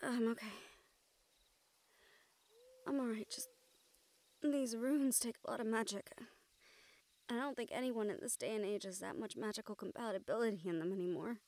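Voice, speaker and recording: A woman playing an excitable, caring young mage in an intimate audio roleplay, with animated, halting delivery. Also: fairly high pitch, close to an everyday voice. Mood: tired, thoughtful. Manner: animated, halting, swearing freely.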